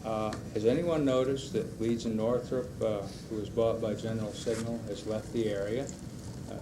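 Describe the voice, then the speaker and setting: A man giving a public comment at a school board meeting, deliberate and warning. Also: lowish voice. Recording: noisy 1990s public-access broadcast.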